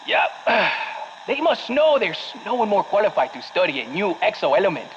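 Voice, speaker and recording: An actor playing an eager, affectionate scientist with a Latin American Spanish accent, leaving a chatty message in a game. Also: male voice, high voice, sing-song, normal speed, fairly bright tone. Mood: excited, proud. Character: eager, excitable male scientist, affectionate son. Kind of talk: scripted voicemail monologue. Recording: dull, faintly noisy phone message.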